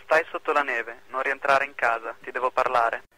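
A man playing a secretive, commanding former prisoner in an amateur radio drama, calm and terse. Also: medium pitch, normal speed, low-quality recording.

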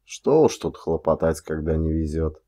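A man reading an audiobook as an actor, telling a literary story in a measured, expressive way. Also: a bass voice.

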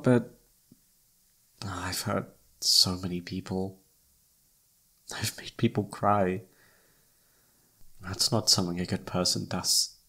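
A man playing a self-doubting, lovestruck best friend in an intimate ASMR roleplay, speaking softly and haltingly with tender hesitation. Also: deep voice, husky, exaggerated voice. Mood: self-doubting, regretful. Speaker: amateur voice actor.

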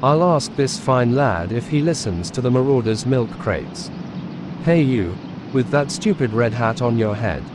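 A man's voice: medium pitch, lively intonation, a little slow. A man doing a mock-aggressive, profane comedic skit in a bullying manner. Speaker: video channel host. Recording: clear street and vehicle noise.

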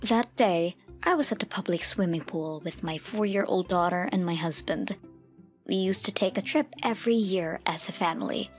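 A woman giving an earnest, scripted first-person narration of a story. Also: on the low side.

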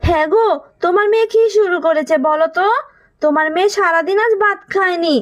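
Woman as a very high-pitched, fussing cartoon mother. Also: fairly quick. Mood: worried. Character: careworn, scolding but caring poor animal mother. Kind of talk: Bengali children's cartoon voice acting.

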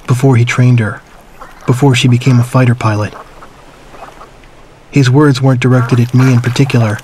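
An actor playing a man who somberly recalls his wartime childhood in measured, reflective story narration. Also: mid-range voice, darkish tone, a little hiss or hum, close to an everyday voice. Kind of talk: scripted first-person story narration.

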